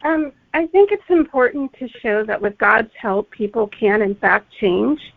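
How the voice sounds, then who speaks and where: Creaky-voiced woman author on a phone-like line. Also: fairly high pitch, unhurried, no room sound. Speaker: guest author discussing her book. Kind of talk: conversational interview answers. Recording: narrow phone-like line, dry.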